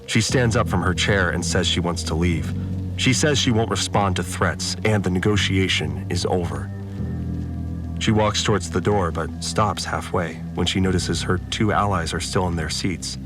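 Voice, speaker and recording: An actor playing an uneasy farmer, giving measured, subdued first-person narration in an audio drama. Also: male voice, sits low, over music, close to an everyday voice. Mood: tense, watchful. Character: uneasy male farmer recounting events in a confined community.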